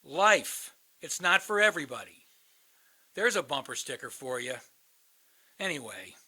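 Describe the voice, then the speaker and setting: Man acting a cynical, higher-pitched hotline veteran. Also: big pitch swings, unhurried, pushed and bright, slightly rough, full character voice, poor audio. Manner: world-weary, philosophizing. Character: cynical, bitter older male crisis hotline veteran. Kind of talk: radio play acting.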